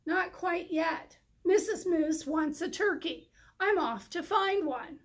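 Storybook Mister Moose type , played by a female reader: kindly, determined husband, polite but emphatic, high-pitched, unhurried. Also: lively intonation, heavily acted. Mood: earnest, determined.

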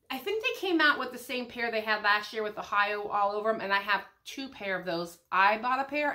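A woman's voice: fairly high pitch, sharp tone. A middle-aged American woman, slightly congested from a cold, chatting casually and ramblingly through a shopping haul in a small room at home. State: has a head cold. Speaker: vlogger.

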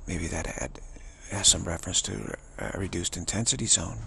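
A man giving a rambling, informal spiritual monologue in short, halting phrases that trail off. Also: sits low, gravelly, pushed and bright, a little hiss or hum. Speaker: spiritual vlogger.

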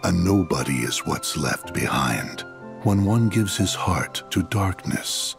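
Gravelly, imperious cold villain. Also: male voice, deep voice, big pitch swings, over music, full character voice. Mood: condescending. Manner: measured, grandiose, condescending. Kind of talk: dramatic video game cutscene acting.

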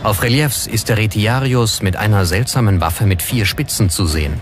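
A man's voice: on the low side, lively intonation, moderate pace. A man reading scripted documentary narration as the narrator. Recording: music behind the voice.

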